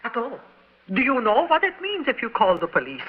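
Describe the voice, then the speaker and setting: An actress playing Bessie, a bitter, self-pitying middle-aged shopkeeper's wife, in an old radio drama. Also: female voice, fairly high pitch, wildly swooping pitch, brisk. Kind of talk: 1950s radio drama acting. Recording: muffled old radio broadcast with hiss.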